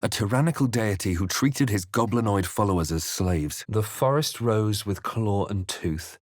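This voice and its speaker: A man playing a grave, watchful adventurer hero in a fantasy video game, speaking in a measured, dramatic, introspective way. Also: on the low side, lively intonation, no echo, studio quality, close to an everyday voice. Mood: grave, brooding. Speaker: video game player character. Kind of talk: scripted narration and character lines for a fantasy game.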